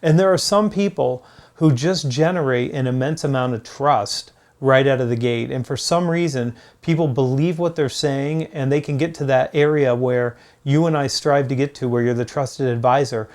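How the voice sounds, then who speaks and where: Guy doing a sales coaching vlog, average pitch, emphatic and motivational, talks right at you and repeats stuff to drive it home. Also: moderate pace, a little mellow. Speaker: host, sales author and coach. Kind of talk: motivational business advice to camera.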